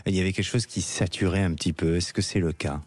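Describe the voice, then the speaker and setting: Man, radio psychic host, gentle, halting, lots of fillers, lowish voice, studio mic. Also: fairly even intonation, breathy edge. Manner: hesitant, many "euh" fillers, gentle reassuring. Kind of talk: live call-in consultation.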